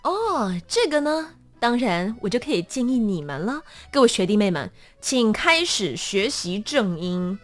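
A woman's voice: a little high, measured pace, hardly any pauses, soft background music. A woman with a Taiwanese accent chatting casually and expressively as an interview guest. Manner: animated, expressive storytelling.

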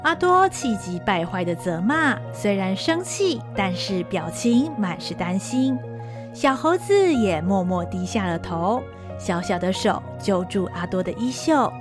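Slow, expressive woman narrator. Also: mid-range voice, over music. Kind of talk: scripted storytelling narration.

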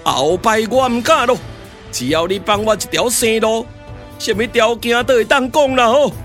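High-pitched, blustering cowardly commander. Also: male voice, normal speed, a bit bright. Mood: terrified stammering. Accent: Taiwanese. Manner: stammering, theatrical. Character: blustering, cowardly Dutch commander, grown man. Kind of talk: Taiwanese glove-puppet radio drama. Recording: music under the voice.

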